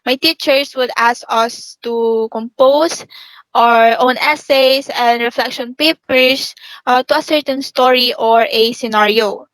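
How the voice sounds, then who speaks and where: A young woman with a native Filipino speaker's accent talks in a fairly high voice. She gives a careful, formal account as a student guest, recorded dry without room echo. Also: steady tone, measured pace, a bit bright. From Philippines. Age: young adult.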